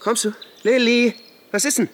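An actor playing a stubborn, evasive husband in a German radio drama, clipped and defensive. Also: male voice, extremely high pitch, fairly even intonation, moderate pace, a bit bright, soft background music. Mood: hurt, incredulous. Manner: clipped, curt.

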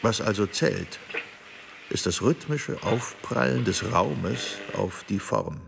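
An actor reciting surreal poetic texts in a radio play. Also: male voice, fairly low pitch, expressive, slow pace, vocal fry, very noisy, heavily acted. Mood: measured, reflective. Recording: loud music behind the voice. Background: instrumental music.

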